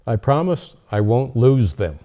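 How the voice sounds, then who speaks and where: A man's voice: mid-range voice, very animated, a little slow, mellow. A man playing kindly old Father Christmas as an avuncular co-host of a children's holiday show. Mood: reading aloud. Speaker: storytime co-host.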